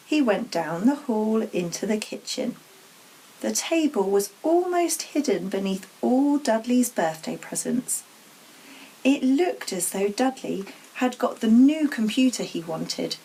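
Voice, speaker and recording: A woman reading a children's storybook aloud as an expressive narrator. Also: on the high side, husky, low-quality recording. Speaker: story reader.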